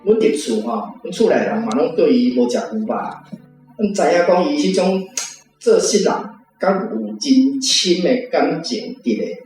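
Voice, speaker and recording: Male guest speaker, Taiwanese accent, highish voice sharing memories, distant and heavily reverberant. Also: animated, normal speed, darkish tone. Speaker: panel guest, reading club member. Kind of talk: personal reminiscence and commentary. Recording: very echoey, distant, faint music. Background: faint guitar music.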